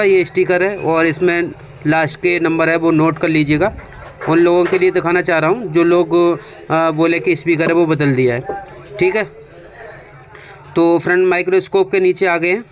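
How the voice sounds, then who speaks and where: A man's voice: on the high side, fairly even intonation, moderate pace. A man with an Indian accent narrates a hands-on repair tutorial as a technician, explaining step by step in a conversational way and often repeating his instructions. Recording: clearly noisy background.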